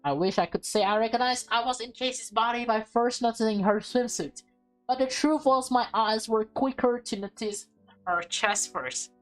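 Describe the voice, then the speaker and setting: A woman reads a visual novel aloud as a gaming host. Her delivery is expressive and playful, slipping between dramatic narration and casual asides. Music plays behind her voice. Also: a little high, normal speed, rough recording. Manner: expressive, playful, acts out dialogue.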